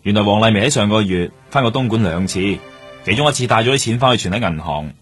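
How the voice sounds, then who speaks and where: An actor with a Hong Kong accent playing a diligent police detective reporting briskly and factually in a radio drama. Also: male voice, on the low side, expressive, a bit bright, faint music behind. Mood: businesslike. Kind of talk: Cantonese radio drama acting.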